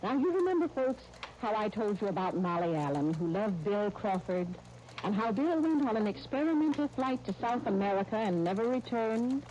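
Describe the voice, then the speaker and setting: A woman's voice: medium pitch, very animated, normal speed, lo-fi sound, natural voice. A woman playing a homely, cheerful storytelling housewife in a folksy, chatty 1930s radio style. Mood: earnest storytelling. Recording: noisy 1930s radio broadcast disc.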